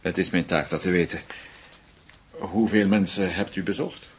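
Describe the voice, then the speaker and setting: An actor playing a shrewd German intelligence official in a radio drama, speaking formally and deliberately. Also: male voice, low voice, fairly even intonation, slight background noise. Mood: knowing, grave.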